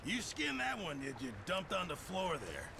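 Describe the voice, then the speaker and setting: Gravelly, tipsy camp cook. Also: male voice, high-pitched, huge pitch swings, moderate pace, exaggerated voice. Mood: teasing, approving. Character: tipsy, rum-loving male camp cook, coarse and chummy. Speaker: game character actor. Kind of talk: cinematic video game western acting. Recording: noisy ambience behind the voice.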